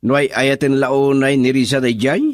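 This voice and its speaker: An actor playing a pushy, scornful young suitor in an Ilocano radio drama. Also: male voice, mid-range voice, little pitch movement, moderate pace, natural voice. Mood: scheming, incredulous.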